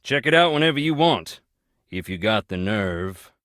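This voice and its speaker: A man's voice: on the low side, animated, normal speed, fairly bright tone, no room sound, studio quality. An actor with a Southern US accent playing a blunt, folksy, brooding man haunted by family tragedy in a video game. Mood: ominous. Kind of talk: video game character dialogue.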